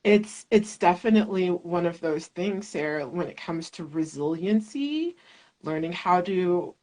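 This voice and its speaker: A woman speaking as a guest in a reflective conversation, thinking aloud with many pauses and "like"s, on a remote call mic. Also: fairly low pitch, animated, darkish tone, poor audio. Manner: searching, many fillers and restarts, thoughtful.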